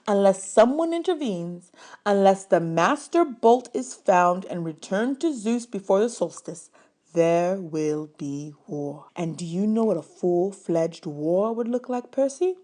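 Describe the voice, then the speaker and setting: A woman's voice: mid-range voice, darkish tone, natural voice. A woman voicing a stern, learned male mentor in a storybook, formal, pedantic and emphatic.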